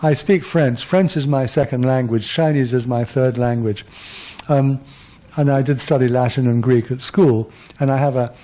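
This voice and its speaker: A man's voice: medium pitch, dark tone. A man in his seventies, a guest lecturer answering audience questions in a wry, rambling, self-deprecating way. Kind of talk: conversational lecture Q&A.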